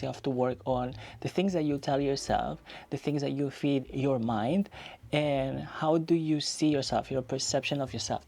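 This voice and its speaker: A man's voice: medium pitch, creaky, a little mellow. Chatty man in his thirties, vlogging. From Spain. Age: 30s. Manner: chatty, reflective, encouraging, repeats phrases for emphasis. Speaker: YouTuber. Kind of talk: casual vlog talking to camera.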